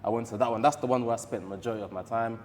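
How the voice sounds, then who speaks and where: Fast-talking young East London man. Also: mid-range voice, fairly even intonation, a little mellow, big-room sound. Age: 20s. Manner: laid-back, chatty, peppers speech with "you know what I mean". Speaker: podcast guest, boxer. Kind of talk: casual chat. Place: boxing gym.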